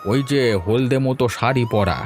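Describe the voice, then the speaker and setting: A man's voice: mid-range voice, normal speed, faint music behind, close to an everyday voice. A man voicing an affectionate, teasing old village grandfather in a chatty, familiar way for a cartoon. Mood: cheerful, teasing. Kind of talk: Bengali cartoon voice acting.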